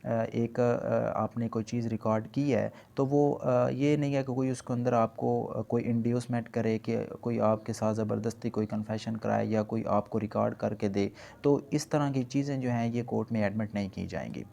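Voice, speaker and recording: A man's voice: medium pitch, fairly even intonation, normal speed, vocal fry, hardly any pauses, warm tone. A man with a Pakistani accent giving an explanatory law lecture, didactically repeating and rephrasing his points. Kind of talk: explanatory lecture mixing in English legal terms.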